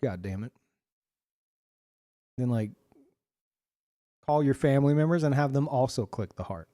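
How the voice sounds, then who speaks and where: A man's voice: mid-range voice, expressive, a little slow, mellow, no room sound, studio quality. A man in his thirties casually chatting and swearing as he reads out chat messages while streaming a game.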